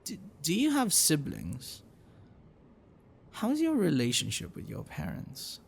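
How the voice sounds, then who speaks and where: Man playing a gentle dragon boyfriend, gravelly and animated. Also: fairly high pitch, slow, slight background noise, pitched above the performer's own voice, exaggerated voice. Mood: tender, concerned. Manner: hesitant, soft, caring, explanatory. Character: gentle bookish male dragon-blooded librarian, devoted boyfriend. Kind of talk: ASMR roleplay, speaking to the listener.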